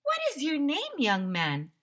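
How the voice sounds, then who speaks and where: A theatrical fashion photographer voiced by a woman reading a storybook who gushes in drawn-out exclamations. Her pitch is high and swoops widely, and her tone is bright. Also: huge pitch swings, full character voice. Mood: starstruck, insistent.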